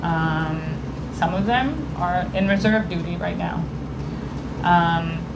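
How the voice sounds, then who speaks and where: A woman from Israel giving a public talk, speaking earnestly and often pausing to search for the right words. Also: on the low side, gravelly. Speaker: guest speaker. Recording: noisy room recording.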